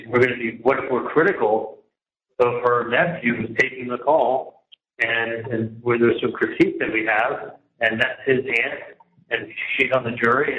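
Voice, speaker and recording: An exasperated man talks at length on a casual phone call, circling back and repeating himself. The recording is roomy and muffled, with faint noise behind the voice. His pitch sits in the middle. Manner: exasperated, repetitive, recounting at length. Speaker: prison inmate on a phone call. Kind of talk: casual phone conversation, venting. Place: prison, on a recorded phone line. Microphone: telephone handset.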